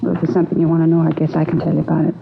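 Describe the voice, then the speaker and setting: An American actress playing a shaken, polite, newly widowed housewife in a 1950s radio drama, speaking in a halting, subdued way. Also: female voice, sits low, completely flat delivery, creaky. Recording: narrow, tinny old radio recording, faint noise.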